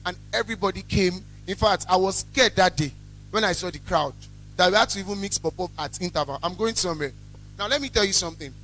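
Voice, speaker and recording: A young man with a Nigerian accent and a loud, carrying voice, giving an emphatic, blunt motivational talk as a business owner. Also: sits high, fast talker, soft background music, poor audio. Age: young adult. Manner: emphatic, blunt, repeats phrases for effect. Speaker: small chops business owner, guest speaker. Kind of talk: motivational talk to a student audience.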